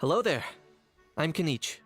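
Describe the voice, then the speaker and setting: A man playing a terse, deadpan young adventurer in a video game, speaking dryly. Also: a little high, normal speed, breathy edge, soft background music, exaggerated voice. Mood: reserved. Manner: deadpan, dry. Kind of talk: video game character acting.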